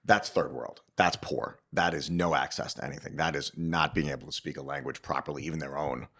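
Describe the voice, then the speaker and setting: A man's voice: a bass voice, steady tone, a little fast, a bit bright, slightly rough. A man speaking emphatically and casually in an interview, giving opinionated advice with rhetorical check-ins. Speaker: interviewed business owner and show host.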